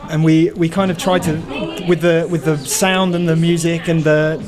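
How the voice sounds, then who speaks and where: A man's voice: on the high side, flat delivery, moderate pace, a crowd in the background. A man being interviewed as a film crew member, explaining things casually as he thinks aloud.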